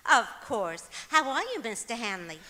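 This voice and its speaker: A woman's voice: fairly high pitch, very animated, moderate pace, bright, forceful tone. A woman playing a sweetly illogical, guileless wife in old-time radio comedy style on stage. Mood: earnest, innocent. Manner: guileless, earnest delivery of nonsense. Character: scatterbrained, sweetly illogical wife, cheerfully matter-of-fact. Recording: echoey auditorium stage performance.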